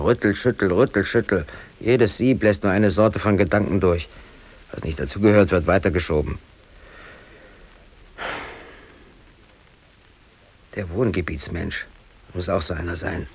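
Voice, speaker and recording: Radio drama lead: brooding East German working man, late thirties, lowish voice, obsessive and embittered, talking to himself in swings from mutter to outburst. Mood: bitter, agitated. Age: late 30s. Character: aggrieved East German factory worker and obsessive hobby photographer. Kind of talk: radio drama interior monologue.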